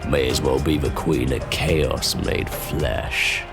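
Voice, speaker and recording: A man voicing a gruff, brutish male pig-man who runs an arena, talking in coarse, mocking slang. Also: a bass voice, fairly even intonation, takes it slowly, full character voice. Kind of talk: audio drama roleplay. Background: background music.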